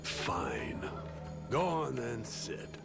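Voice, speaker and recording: A man playing a gruff, suspicious middle-aged barkeep in a video game, with a sardonic, grudging manner. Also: mid-range voice, huge pitch swings, drawn-out words, over loud music, exaggerated voice. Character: gruff, suspicious barkeep father, former treasure hunter. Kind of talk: English video game voice acting.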